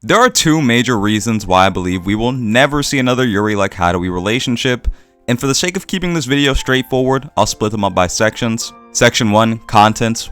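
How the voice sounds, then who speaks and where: A man's voice: fairly low pitch, fairly bright tone. A man hosting and narrating a scripted video essay.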